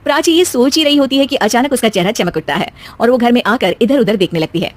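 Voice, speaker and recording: A woman's voice: on the high side, fast. Woman narrating a cartoon story.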